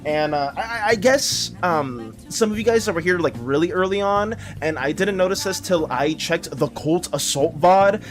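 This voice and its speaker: A man with a high voice chats casually on a livestream, rambling, stumbling over repeated words and thanking viewers one after another. His voice is slightly hoarse and dry. Soft music plays faintly behind him. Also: animated, moderate pace, few pauses. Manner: reads chat messages aloud and thanks viewers.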